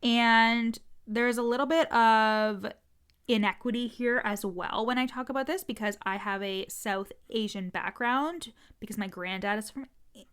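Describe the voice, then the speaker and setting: A woman's voice: a little high, unhurried, a bit bright, clear, resonant tone. A woman business coach talking frankly in a casual podcast monologue, swearing freely, with a stutter.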